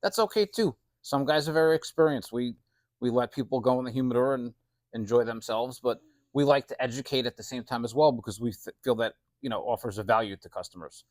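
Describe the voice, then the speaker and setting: A man in his late forties talks casually as an interview guest. His pitch is middling and the sound is dry and free of echo. He is plain-spoken, friendly and earnest, like a shop owner talking with a regular. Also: a little fast. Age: late 40s. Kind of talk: casual chat.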